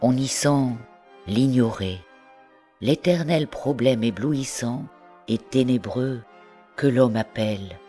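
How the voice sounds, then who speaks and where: A woman reads poetry aloud in a literary recitation style. Her pace is very slow and her phrasing deliberate, with pauses between short groups of words. Soft music plays behind her. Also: a bass voice. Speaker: poetry reader.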